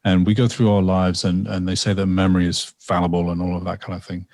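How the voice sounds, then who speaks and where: Deep-voiced man, rambling storyteller. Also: level tone, fast, a little mellow, no room sound, studio quality. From England. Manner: rambling and reflective, with frequent repetitions and fillers. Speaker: guest storyteller and artist. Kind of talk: casual podcast conversation, telling a personal story.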